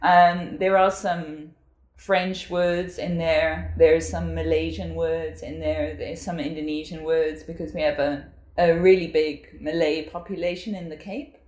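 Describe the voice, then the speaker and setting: A South African woman in her forties speaks in a low voice. She talks reflectively, pausing on fillers, and the sound rings around an echoey room. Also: flat delivery, darkish tone. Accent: South African English. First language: English. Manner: reflective, with frequent fillers and laughs. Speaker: guest. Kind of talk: casual conversational interview.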